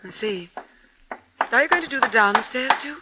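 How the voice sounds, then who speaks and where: A woman's voice: fairly high pitch, animated, normal speed, lightly acted. An actress playing a worried wife questioning her husband in a 1950s radio drama. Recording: noisy old radio recording.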